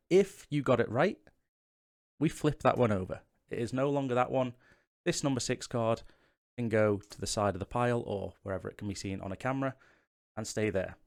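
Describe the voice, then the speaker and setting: A man hosting a tutorial, explaining game rules to the viewer in a casual, chatty, self-deprecating way. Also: medium pitch. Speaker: host of a board game tutorial channel. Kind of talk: casual how-to-play explanation to camera.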